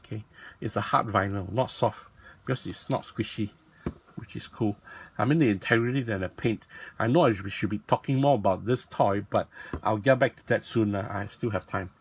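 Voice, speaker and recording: Raspy rambling man. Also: lowish voice, moderate pace, slight background noise. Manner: rambling, self-correcting, lots of fillers. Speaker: toy blogger and vlog host. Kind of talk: casual rambling toy showcase.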